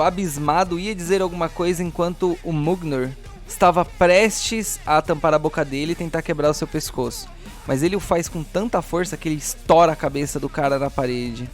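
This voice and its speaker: A man with a slightly high voice runs a tabletop roleplaying game. He narrates as game master, improvising descriptions for his players, with soft music underneath.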